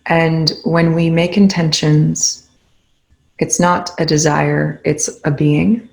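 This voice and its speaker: Low, even-voiced woman guiding meditation, creaky. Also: poor audio. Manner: calm, unhurried, gently instructive. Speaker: guided meditation leader. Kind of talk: guided meditation, slow spoken instruction.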